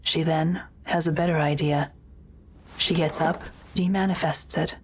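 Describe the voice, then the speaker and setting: Low-voiced woman narrating an audio drama. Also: steady tone, a little slow, slight background noise.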